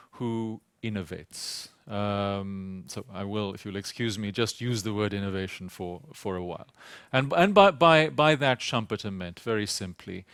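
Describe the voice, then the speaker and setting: A man with a British accent giving a conference panel talk, hesitant and halting, often repeating words and pausing on "uh". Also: on the low side, vocal fry, fairly bright tone, no echo. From Sri Lanka. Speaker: panel speaker. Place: conference venue.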